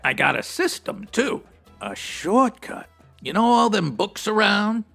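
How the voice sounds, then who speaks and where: A breezy hustler who manages a strip club in a radio detective comedy. His voice is high for a man and gravelly, swooping about as he rolls out hipster slang and florid big words. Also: sing-song, measured pace, a bit bright, faint music behind, exaggerated voice. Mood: chatty, pleased. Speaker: actor in a comedy audio drama. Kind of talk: comedic old-time radio detective acting.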